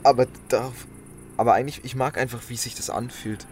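A man's voice: medium pitch, fairly even intonation, fairly quick, darkish tone, slight background noise. A man in casual podcast chat, musing and thinking aloud in loose phrasing. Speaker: podcast co-host.

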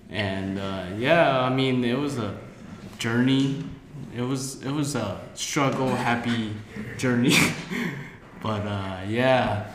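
A young man from Colorado co-hosting a casual podcast, talking in a hesitant, halting way. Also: on the low side, a bit bright, roomy sound, slight background noise. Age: young adult. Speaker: podcast co-host.

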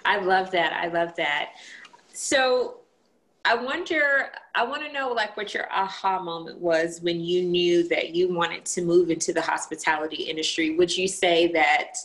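A woman's voice: lowish voice, unhurried, a bit bright. A woman hosting a casual interview, speaking in an enthusiastic, effusive manner. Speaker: podcast host interviewing guest.

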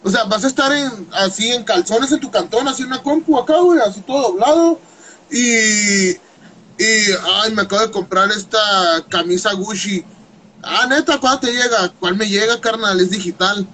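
A man, hosting a casual chat in a fast, slangy, playful way. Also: sits high, pushed and bright, slight background noise, rough recording. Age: 30s. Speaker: host.

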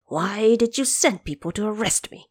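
A woman's voice: mid-range voice, expressive, normal speed, darkish tone, slightly rough, no room sound. A woman voicing a defiant, boastful monkey warrior in an audio drama, with an imperious, challenging manner. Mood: defiant, demanding.

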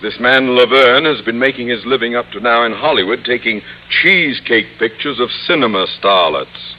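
An actor playing a pompous, irascible middle-aged school principal in an old-time radio comedy, with formal, blustering delivery. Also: male voice, medium pitch, normal speed, lo-fi sound, close to an everyday voice. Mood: stern, pompous. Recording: noisy old radio recording, studio audience.

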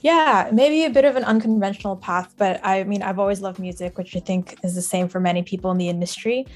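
Young woman, mid-pitched podcast guest. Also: fairly quick, faint music behind. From Singapore and Shanghai. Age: young adult. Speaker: podcast guest, music data analyst. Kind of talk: casual conversational interview.

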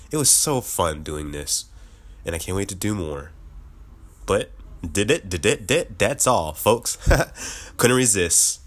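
A cheerful male podcast host winding down an episode with a friendly, rambling ramble of thanks and shout-outs. His voice sits somewhat low and carries a slight sick heaviness. He laughs often between lines. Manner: upbeat, chatty, laughs often. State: slightly sick, voice a bit lower. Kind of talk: casual podcast outro.

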